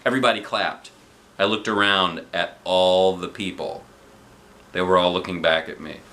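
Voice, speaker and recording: A man narrating a children's storybook aloud in an animated style. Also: low voice, moderate pace. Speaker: storybook reader.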